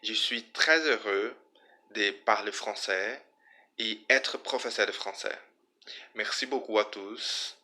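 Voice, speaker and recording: A Brazilian man with a somewhat low voice speaking French slowly. His tone is strikingly bright and forceful, and he sounds calm and earnest, with a Brazilian accent. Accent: Brazilian Portuguese. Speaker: teacher. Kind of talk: self-introduction.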